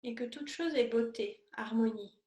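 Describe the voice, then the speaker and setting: A woman teaching yoga, guiding a meditation in a calm, soothing, unhurried way with pauses between short phrases. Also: a little high. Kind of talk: guided yoga and meditation instruction. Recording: echoey room sound.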